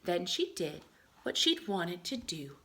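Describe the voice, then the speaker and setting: A woman reading a picture book aloud to young children in an expressive way, adding chatty explanations and questions for her listeners. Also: on the low side, takes it slowly. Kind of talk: animated read-aloud with chatty asides and questions.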